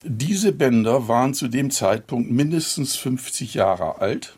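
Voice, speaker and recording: A man's voice: medium pitch, animated, a little slow, a little mellow. A man, a sound engineer, recalling his work conversationally in an interview. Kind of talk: conversational recollection.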